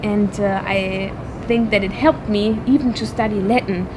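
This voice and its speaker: A woman's voice: medium pitch, fairly even intonation, normal speed. Lady teacher, careful explainer, middle voice, noise behind. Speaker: German teacher. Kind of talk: interview answer. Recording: noisy recording with traffic.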